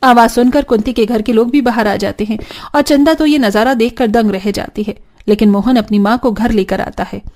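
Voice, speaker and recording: A woman's voice: fairly high pitch, moderate pace, a little mellow. A woman narrating a scripted cartoon story as its storyteller.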